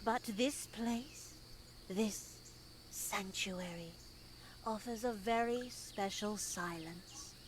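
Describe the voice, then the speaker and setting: A stern nun from a visual novel game, lecturing with slow, formal piety. Her delivery is deliberate and preachy, with some background noise. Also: mid-range voice. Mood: solemn, measured. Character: stern, sanctimonious nun lecturing two young women in her care. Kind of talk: dramatic visual novel voice acting.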